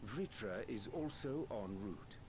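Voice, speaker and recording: An actor playing a courteous, earnest fantasy adventurer in a video game, speaking in measured, archaic, formal phrases. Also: male voice, fairly low pitch, expressive, background noise. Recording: roomy game audio over music. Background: game music.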